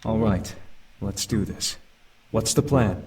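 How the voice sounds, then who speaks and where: An actor playing a dutiful eldest demon prince in a visual novel, formal and hesitant. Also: male voice, on the low side, takes it slowly, darkish tone. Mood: resolved. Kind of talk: visual novel character acting. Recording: slightly distant from the mic.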